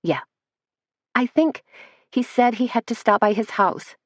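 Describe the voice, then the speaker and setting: Flustered young gal babbling fast and high, woman reading her, dry recording. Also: no room sound. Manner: breathless, words tumbling out, higher pitched. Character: flustered young woman, law office assistant.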